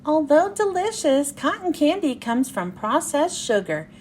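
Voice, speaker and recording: A helpful little know-it-all boy from a storybook, voiced by a woman, high and animated. He explains things earnestly and reassures his friends. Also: unhurried, a little hiss or hum. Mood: informative.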